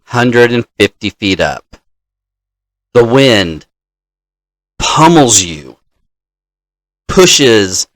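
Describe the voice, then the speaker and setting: A man's voice: mid-range voice, a bit bright, dry recording. A man hosting as a dungeon master, telling the story of a game session in an explanatory way and acting out players' lines.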